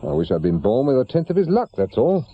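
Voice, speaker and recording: Wry, animated English ex-policeman. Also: mid-range voice, quick pace. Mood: bitter, grumbling. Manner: dry, grumbling, colloquial. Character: wry, bitter ex-policeman turned private investigator, fond of the pub. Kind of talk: naturalistic British radio drama acting. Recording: muffled radio recording with clear background noise.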